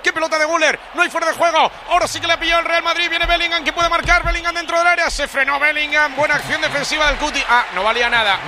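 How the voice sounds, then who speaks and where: Guy calling the match, super high voice, fast, noisy in the back. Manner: excited, rapid calls. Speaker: play-by-play commentator. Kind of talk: live football commentary.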